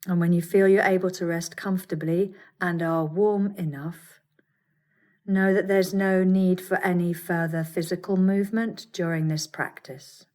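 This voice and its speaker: Woman, lower-pitched voice, yoga nidra teacher, guided meditation, soothing, measured, gentle pauses.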